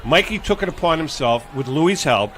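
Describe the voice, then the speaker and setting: A man hosting comic live parade commentary on radio in an excitable, repetitive, playful manner. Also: fairly high pitch, moderate pace. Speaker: radio show host. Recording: noisy live radio broadcast.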